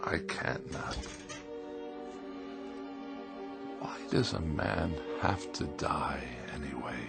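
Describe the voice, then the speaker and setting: A man's voice: rumbling low, measured pace, vocal fry, over loud music, exaggerated voice. An actor playing a bitter, self-pitying hypochondriac husband in a radio drama, querulous and theatrical as he accuses and complains. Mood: sour, self-pitying.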